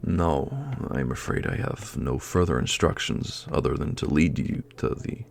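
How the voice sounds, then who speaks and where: A man playing a solemn, formal guardian of mystical obelisks in a fantasy audio roleplay, with a gravelly, breathy, measured voice. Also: a bass voice, full character voice.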